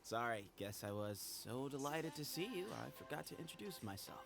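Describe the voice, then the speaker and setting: A man's voice: mid-range voice, animated, fairly quick, gravelly. An actor playing a sly young man who guards a temple, wry and evasive. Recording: game dialogue with music behind.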